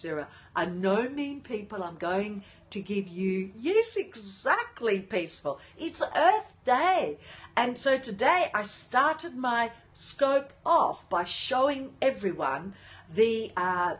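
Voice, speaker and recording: Slow, animated older woman. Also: on the high side. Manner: animated, unhurried, often breaks off to greet and thank viewers. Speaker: livestream host. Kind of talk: casual live chat greeting viewers. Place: at home in an apartment. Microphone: phone livestream.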